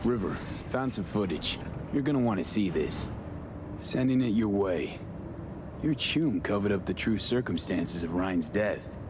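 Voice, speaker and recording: An observant game mercenary, sparing with words. The voice is on the low side and understated, set against clear noise. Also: male voice, expressive, unhurried. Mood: focused, low-key. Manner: terse, low-key.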